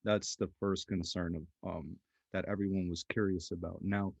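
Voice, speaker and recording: A man's voice: deep voice, level tone, moderate pace, gravelly, a little mellow, no echo. A male host running a casual interview in an upbeat, encouraging way. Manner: upbeat, encouraging, agrees often.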